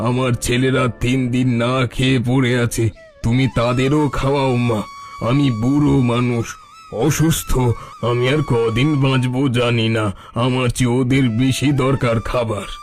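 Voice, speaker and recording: Music plays under the voice of a sick old bandit chief from a cartoon tale, acted by a man. He is kindly and grateful. He addresses others tenderly, like a father, at an ordinary male pitch. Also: steady tone, moderate pace, natural voice. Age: older. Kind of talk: Bengali cartoon story voice acting.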